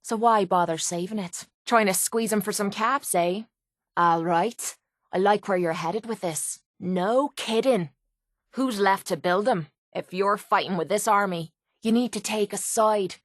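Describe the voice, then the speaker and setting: A woman's voice: medium pitch, measured pace, no room sound, studio quality, close to an everyday voice. A woman playing a cynical, defiant young female brawler in a video game, with an Irish accent and a blunt, sardonic, slangy delivery. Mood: sardonic, irritated. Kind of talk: video game character dialogue.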